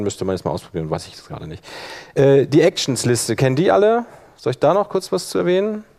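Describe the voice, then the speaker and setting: Male workshop instructor, mid-pitched, informal and hands-on, thinks aloud while demonstrating software.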